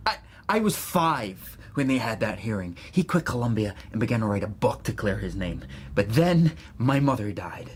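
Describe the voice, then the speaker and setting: An actor playing a defensive, gullible young American graduate student in a radio drama, with halting, stammering speech. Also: male voice, fairly low pitch, sing-song, a little hiss or hum. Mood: defensive, heated. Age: young adult. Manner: halting, stammering when worked up. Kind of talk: naturalistic radio drama acting.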